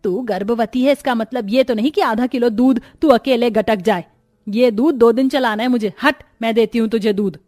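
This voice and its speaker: A woman's voice: a little high, moderate pace, a little mellow, dry recording, faint music behind, lightly acted. A woman voicing a stingy, scolding middle-aged mother-in-law in a cartoon story, with a bossy, nagging manner. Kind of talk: Hindi cartoon story voice acting.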